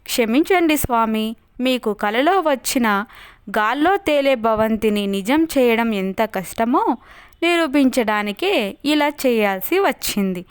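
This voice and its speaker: An apologetic male minister from an animated folk tale, read by a woman storyteller. He speaks humbly and earnestly, in a high, slow voice. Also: clean tone, dry recording, studio quality. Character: humble male royal minister explaining himself.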